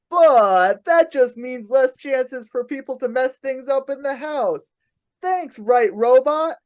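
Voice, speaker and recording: Woman acting as gullible satisfied customer, high pitch, earnest testimonial delivery, comedy radio ad. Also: darkish tone. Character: gullible satisfied customers in a parody robot advert.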